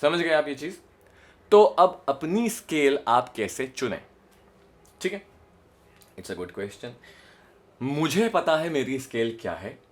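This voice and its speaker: A man with an Indian accent explains like a voice coach, stressing points and often checking that the listener follows. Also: mid-range voice. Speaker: voice modulation coach. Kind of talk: instructional explanation to camera with demonstrations.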